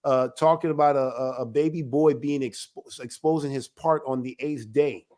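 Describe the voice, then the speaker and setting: A man, an elder of a ministry, giving a religious teaching talk in an emphatic, animated way. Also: medium pitch, normal speed. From United States.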